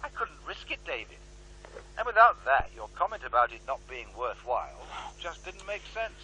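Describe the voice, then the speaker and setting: A man's voice: fairly high pitch, sing-song, normal speed, pushed and bright, a little hiss or hum, rough recording. An actor playing a slick, self-justifying male reporter in a radio drama. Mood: defensive.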